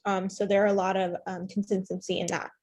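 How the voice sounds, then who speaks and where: A woman's voice: medium pitch, flat delivery, fairly quick, darkish tone. A young woman from Ethiopia whose first language is not English, speaking earnestly on a panel about foster care with many fillers and restarts. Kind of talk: panel discussion.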